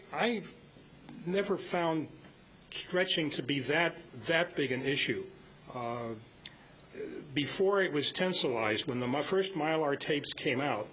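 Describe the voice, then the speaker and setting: An older man giving an informal, rambling technical talk at a club meeting, halting and full of anecdotes. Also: on the high side, fairly bright tone. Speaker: club speaker presenting on audio tape. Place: indoor club meeting, live audio recording. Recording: muffled, slightly noisy meeting-room recording.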